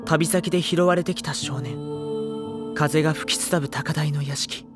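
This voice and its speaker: An actor playing a reflective, polite teenage schoolboy, narrating an audio drama in a measured, introspective way. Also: mid-range voice, fairly even intonation, natural voice. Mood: pensive. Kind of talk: Japanese audio drama, first-person narration. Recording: background music under voice.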